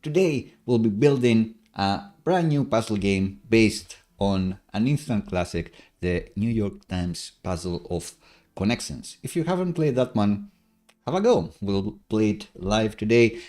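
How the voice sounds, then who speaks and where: A man's voice: sits low, a little mellow. A man in his thirties, not a native English speaker, hosting a casual live coding stream from a room at home, chatty and upbeat with many filler uhs and small laughs.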